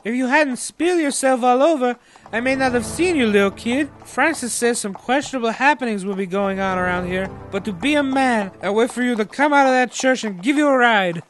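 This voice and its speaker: A man's voice: very high voice, a little fast, a bit bright, soft background music. A man playing a jokey old groundskeeper who talks in a folksy, slangy way in a comedy audio drama. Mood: friendly. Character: jokey old male groundskeeper, a self-described big old fart.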